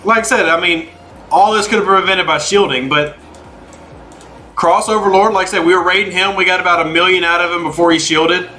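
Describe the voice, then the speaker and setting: A man with a high-pitched, bright, forward voice hosts a gaming livestream. He talks informally, moving between commentary on play and replies to viewers he greets by name. Light music plays behind him. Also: steady tone, a little fast. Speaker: game livestream host. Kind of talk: casual livestream chat while gaming, answering viewers.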